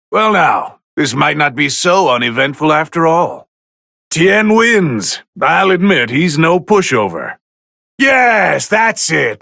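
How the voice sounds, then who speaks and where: A man playing a haughty, bored god of destruction who commentates on video game fights in a condescending, sardonic, theatrical drawl with a gravelly edge. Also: fairly high pitch, bright, forceful tone, no room sound, studio quality, full character voice. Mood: curious, assessing, then amused approval. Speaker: match commentator.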